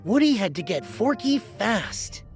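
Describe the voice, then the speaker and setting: A woman's voice: mid-range voice, very animated, unhurried, darkish tone. A woman narrating a children's read-along storybook in a scripted storytelling style. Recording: music behind the voice. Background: music.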